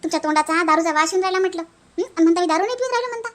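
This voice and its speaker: A woman's voice: very high voice, expressive, rapid-fire. A woman voicing a put-upon young village daughter-in-law in a cartoon, with a Varhadi Marathi accent and plaintive, grumbling delivery. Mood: scolding. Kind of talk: animated family comedy dialogue.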